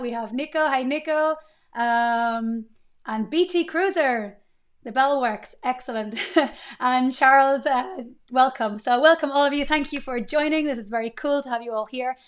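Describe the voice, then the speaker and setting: A woman in her thirties with a fairly high voice hosts a live coding stream from her desk. She talks in a chatty, upbeat way and greets her viewers often. Her voice is slightly strained while it recovers. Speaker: livestream coding host.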